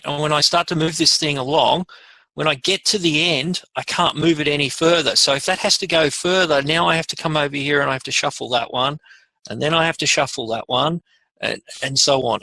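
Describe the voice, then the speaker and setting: A man presenting a casual software tutorial, informally thinking aloud as he demonstrates each step. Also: a little high, gravelly, no room sound, poor audio. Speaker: webinar presenter.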